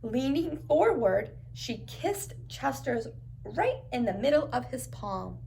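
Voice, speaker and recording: A woman's voice: on the high side, big pitch swings, slow pace, gravelly, darkish tone, a little hiss or hum, poor audio. A woman reading a storybook aloud to young children like a preschool teacher, adding encouraging asides and simple questions.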